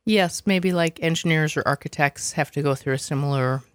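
A man's voice: on the high side, brisk, a bit bright, smooth, no echo. A male host asking curious, plain questions in an interview.

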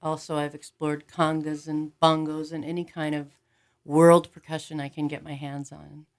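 A woman with a low voice talks as a guest music teacher in a casual interview. She speaks in an enthusiastic way. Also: flat delivery, normal speed.

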